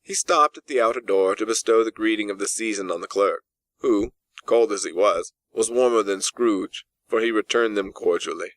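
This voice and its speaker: Bright-voiced male storybook narrator. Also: on the low side, moderate pace, no room sound. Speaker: audiobook narrator. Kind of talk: scripted literary narration.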